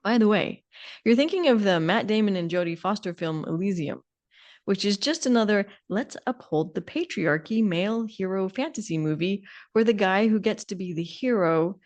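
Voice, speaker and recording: A woman's voice: medium pitch, expressive, moderate pace, a little mellow. Sardonic, opinionated woman chatting casually. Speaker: podcast co-host. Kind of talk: casual conversational discussion.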